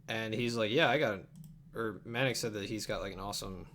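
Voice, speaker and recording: A man's voice: fairly low pitch, fairly quick, fairly bright tone. A young man chatting casually on a livestream while he works, mumbling and thinking aloud in a distracted way. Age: young adult. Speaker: livestreamer editing an abridged anime dub. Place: indoor home computer setup. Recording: faint keyboard and room noise.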